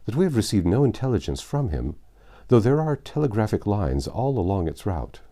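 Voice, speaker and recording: A man voicing an observant gentleman banker in a storybook, making his points formally. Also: lowish voice, big pitch swings, fairly quick, voice pushed up. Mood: pointed.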